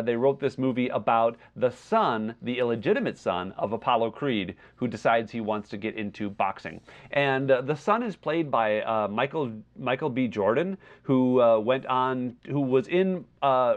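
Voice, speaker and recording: A man with a mid-pitched voice talks as a podcast co-host in a casual, conversational way. He hesitates and doubles back as he explains things. The recording is dull and slightly lo-fi, as from a makeshift microphone. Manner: halting, filler-heavy, backtracking explanations. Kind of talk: casual review chat.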